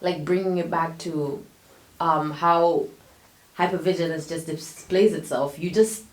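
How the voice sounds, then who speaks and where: Low-voiced woman talking in a roomy space. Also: fairly even intonation, a little slow. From Tanzania. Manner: reflective, explains at length with frequent "like". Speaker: podcast guest. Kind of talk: candid podcast conversation. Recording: roomy echo.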